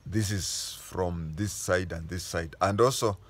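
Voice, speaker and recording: Low-voiced Kenyan man explaining history. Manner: unhurried storytelling, stopping to restate and correct himself. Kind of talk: casual spoken history lesson.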